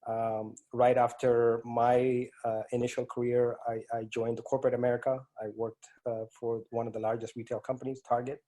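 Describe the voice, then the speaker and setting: A man's voice: mid-range voice, little pitch movement, normal speed, warm tone. A man in his forties from East Africa with an East African accent, answering interview questions as a guest with many hesitant fillers and restarts. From East Africa (Ethiopia and Kenya). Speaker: guest, IT strategist. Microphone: remote call.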